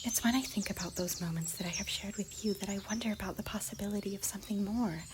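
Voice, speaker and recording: A woman voicing an affectionate, bubbly young cartoon pony in an ASMR roleplay, with a squeaky, breathy voice and a theatrical, tender manner. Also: fast talker, natural voice. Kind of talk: ASMR fan fiction roleplay. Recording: noisy, birdsong and ambience behind.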